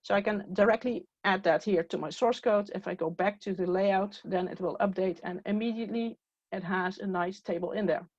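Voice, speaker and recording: A woman whose first language is Dutch, giving a step-by-step software training walkthrough with frequent "uh" fillers. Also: medium pitch, a little fast, no room sound. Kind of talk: screen-share tutorial walkthrough. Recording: dull, dry, lo-fi recording.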